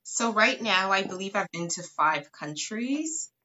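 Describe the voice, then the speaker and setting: A woman's voice: medium pitch, expressive, a little slow, bright, forceful tone. A woman from Ghana, a podcast guest, giving short upbeat answers. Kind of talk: casual interview answers. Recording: dull lo-fi sound.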